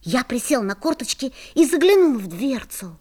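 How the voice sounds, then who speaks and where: A woman's voice: high-pitched, very animated, normal speed, darkish tone. A woman playing a wide-eyed young boy who narrates his magical adventures in a children's audio play, expressively and full of wonder. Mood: wondering, hushed.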